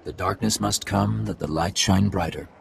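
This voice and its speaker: An actor playing a solemn alien mystic and husband, speaking in a formal, grave, measured way in a game cutscene. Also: male voice, low-pitched, gravelly, heavy background noise. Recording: game cutscene with loud score. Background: orchestral music.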